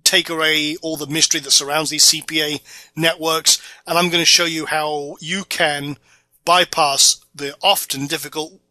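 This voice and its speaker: A middle-aged man from the Orkney Islands with a Scottish accent narrates an online marketing course in a casual sales-pitch manner, with many fillers. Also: level tone, moderate pace, bright, forceful tone, dry recording. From Orkney Islands, Scotland. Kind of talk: narrated slideshow course video.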